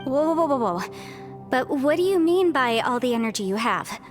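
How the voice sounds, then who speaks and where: A woman's voice: sits high, lively intonation, moderate pace, faint music behind. An actress playing an earnest, anxious young woman in a video game, flustered and emphatic. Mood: alarmed refusal.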